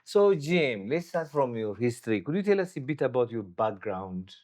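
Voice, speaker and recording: A man with a mid-pitched voice hosts a podcast interview. He encourages his guest and keeps up steady murmurs of agreement. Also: normal speed. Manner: encouraging, frequent backchannel murmurs. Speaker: podcast host. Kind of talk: interview hosting.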